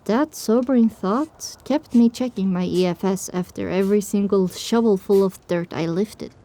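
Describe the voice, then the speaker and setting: A woman narrates a scripted audio drama in the first person. Her voice is warm and dark in tone, sitting at an average pitch for a woman. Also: normal speed.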